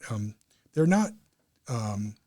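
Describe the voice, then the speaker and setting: A man's voice: lowish voice, big pitch swings, takes it slowly, warm tone. A man speaking as a club member and guest in a casual interview, explaining things earnestly.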